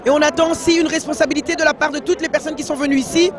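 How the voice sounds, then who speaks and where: A man with a very high voice speaks deliberately and with emphasis about change. He is interviewed outdoors, with crowd noise behind him. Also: steady tone. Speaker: member of an association. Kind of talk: street interview. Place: outdoor street protest.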